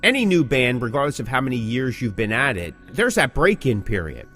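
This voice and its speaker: A man's voice: medium pitch, sing-song, a little fast, sharp tone, faint music behind. A man co-hosting a podcast in casual chat, repeating phrases for emphasis. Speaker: podcast co-host.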